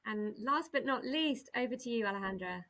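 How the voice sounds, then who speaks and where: A woman's voice: a little high, expressive, a little fast, a bit bright. A woman moderating an online panel. She is upbeat and encouraging, thanks each speaker briefly and moves on to the next.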